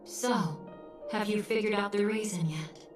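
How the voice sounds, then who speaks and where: A woman voicing a cool, perceptive confidante in a video game, speaking in a measured, detached and philosophical manner. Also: medium pitch, close to an everyday voice. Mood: calm, thoughtful. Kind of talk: dramatic video game voice acting. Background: music.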